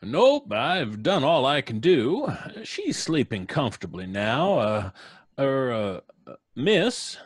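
Old doctor, radio drama, raspy-husky, hesitant, fussy, skeptical, very dry sound. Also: male voice, mid-range voice, big pitch swings, slow, no room sound, full character voice. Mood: fussy, tired. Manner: hesitant, stammering. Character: flustered old male country doctor, skeptical. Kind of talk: old-time radio acting.

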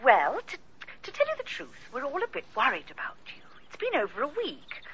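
Radio drama role: gentle, concerned village woman, high voice, very swooping delivery. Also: huge pitch swings, fairly quick, dull audio, full character voice. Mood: tentative concern.

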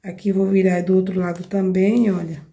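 A hoarse Brazilian woman with a cold hosts a craft tutorial. She chats with her viewers while she demonstrates each step, often checking that they follow. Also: on the low side, level tone, normal speed, mellow. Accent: Brazilian Portuguese. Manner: chatty, addresses viewers directly with frequent checks. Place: home craft table, indoors.

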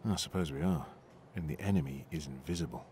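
A man's voice: extremely low pitch, fast talker, darkish tone, a little hiss or hum. A man playing a grumbling, gloomy hospital colleague in an audio drama. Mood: gloomy, conversational.

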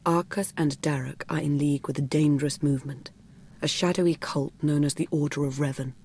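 An actress playing a cool, composed female intelligence operative in a video game, speaking in a measured, formal, understated way. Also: low voice, steady tone, a little mellow, husky. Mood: grave, measured. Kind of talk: scripted video game cutscene acting.

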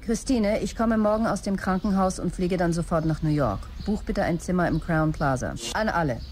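Low-voiced businesslike woman played by an actress. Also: normal speed, a little mellow, faint music behind, natural voice. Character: businesslike, driven female executive presenting a tech venture. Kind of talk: German radio play, dictated messages.

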